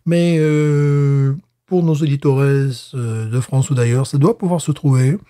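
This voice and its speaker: A man's voice: on the high side, steady tone, a little slow, mellow. A man co-hosting a podcast, tasting a beer in casual chat, hesitant with many fillers and restarts. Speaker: podcast co-host.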